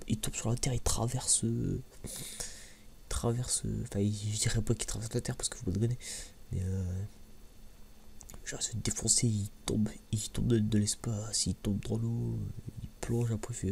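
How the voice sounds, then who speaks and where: Man, soft-spoken ASMR, gravelly low register, hesitant slangy rambling, near-whisper at times. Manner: hesitant, informal, slangy, often repeating words. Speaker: host of an anime review video. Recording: clearly audible background noise.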